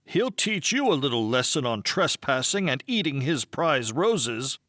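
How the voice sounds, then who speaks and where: A man's voice: mid-range voice, very animated, a little slow, a bit bright, dry recording, clean studio sound, pitched below the performer's own voice. A man voicing a prim, pedantic character who gives wordy, commanding orders in an audiobook. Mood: indignant, commanding.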